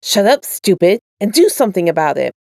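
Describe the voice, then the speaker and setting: A woman's voice: mid-range voice, sing-song, a little fast, vocal fry, darkish tone, dry recording, clean studio sound. A woman voicing a grumpy, scowling man turned into a deer, snapping impatiently in a story reading. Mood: angry, snapping.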